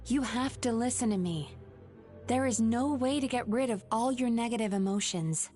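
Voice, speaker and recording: An actress playing a composed young woman with a clinical, gentle yet firm way of speaking, in a game cutscene. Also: on the high side, moderate pace, soft background music, natural voice. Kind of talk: video game cutscene dub.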